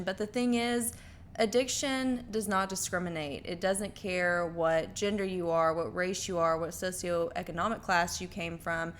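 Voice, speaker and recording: A woman's voice: fairly low pitch, a little hiss or hum. A woman hosting an interview podcast, speaking in an earnest, articulate and emphatic way.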